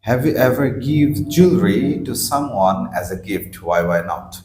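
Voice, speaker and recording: A man's voice: medium pitch, a little slow, soft background music. A young man acting as examiner, reading exam questions in a formal, scripted way. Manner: formal, flat scripted delivery. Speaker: IELTS examiner. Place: small office room, indoors. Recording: echoey room with faint noise.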